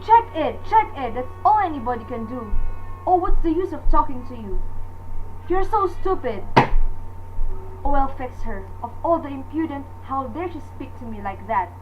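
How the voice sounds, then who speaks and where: A woman's voice: sits high, very animated, moderate pace, music in the background. An actress playing a nervous, imperious married woman in a suspense radio drama, indignant, demanding and melodramatic as panic takes hold. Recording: dull lo-fi recording with faint noise.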